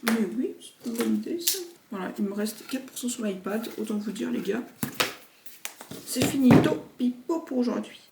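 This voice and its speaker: A woman's voice: a little high, animated, normal speed, vocal fry, very noisy. Chatty slangy young woman vlogging. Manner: chatty, slangy, addresses viewers as guys. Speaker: vlogger, university student. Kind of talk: casual vlog chat to camera.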